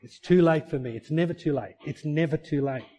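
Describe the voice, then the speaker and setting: Middle-aged guy pastor preaching in church, mellow voice, earnest, asks lots of questions. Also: a little high, brisk. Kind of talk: sermon.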